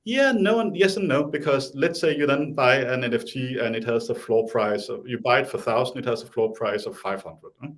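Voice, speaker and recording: A man's voice: medium pitch, a little fast, few pauses. A man with a Danish accent, talking casually as an interview guest, hesitant with many restarts and fillers.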